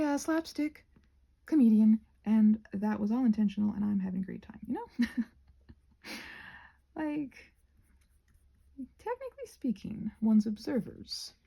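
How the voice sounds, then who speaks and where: Woman, casual craft ramble, husky tone, very animated pitch swings, soft-spoken, digressive. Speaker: craft vlogger. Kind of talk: casual rambling chat while painting.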